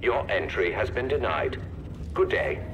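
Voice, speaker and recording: A man voicing a polite, officious computer gatekeeper in a video game, formal and filtered through a loudspeaker. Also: fairly low pitch, expressive, unhurried, lo-fi sound, noisy background. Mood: formal, dismissive. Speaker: automated gatekeeper character. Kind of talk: cinematic game voice acting.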